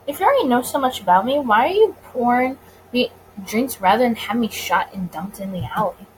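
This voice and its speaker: A woman's voice: fairly high pitch, lively intonation, fairly quick, slight background noise, natural voice, rough recording. A woman voicing a wary, wry male detective in a visual novel, blunt but a little hesitant.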